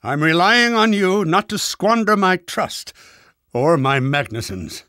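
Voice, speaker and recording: Pompous, high-pitched irritable scientist. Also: male voice, big pitch swings, a little slow, bright, forceful tone, no room sound, heavily acted. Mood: instructing. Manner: pompous, sarcastic, impatient, fussy enunciation. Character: irritable, pompous older male rocket scientist. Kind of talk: video game character voice acting.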